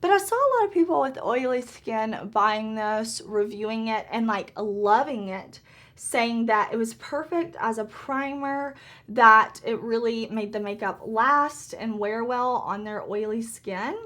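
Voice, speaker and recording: A woman, a little hoarse, chatting upbeat to viewers while doing her makeup. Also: a little high, unhurried, fairly bright tone. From Southern United States. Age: 30s. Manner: chatty and upbeat, laughs often. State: slightly hoarse. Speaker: beauty vlogger. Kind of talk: casual get-ready-with-me makeup chat.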